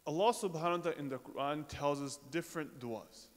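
A man giving an informal sermon to a congregation, talking in a conversational way with pauses, fillers and rhetorical questions. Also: fairly high pitch. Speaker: religious speaker. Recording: reverberant hall.

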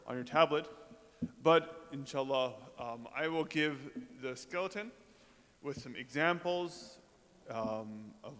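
A man of ordinary pitch preaches in a mosque prayer hall, and a long reverb trails each phrase. He talks to the congregation in a loose, conversational way, pausing with fillers and asking rhetorical questions. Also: fairly bright tone. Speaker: religious speaker. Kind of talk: informal sermon to a congregation.

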